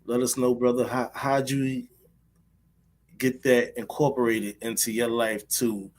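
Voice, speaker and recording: A man's voice: mid-range voice, flat delivery, measured pace. A man hosting a poetry interview show, casual and slangy, with plenty of fillers. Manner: laid-back, slangy, with fillers. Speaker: host.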